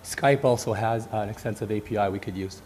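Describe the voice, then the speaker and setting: A man's voice: lowish voice, fast talker, darkish tone. A man acting as technical co-presenter, giving an informal explanation during a live presentation.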